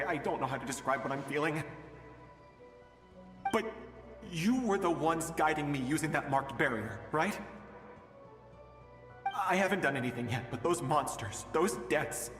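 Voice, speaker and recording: Casting: weary father of a lost age, slightly high voice, halting and resigned, game drama, long hall reverb. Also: animated, a bit bright. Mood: troubled. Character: weary doomed father from an ancient age, searching for his daughter. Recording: echoey hall reverb, music behind. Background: music.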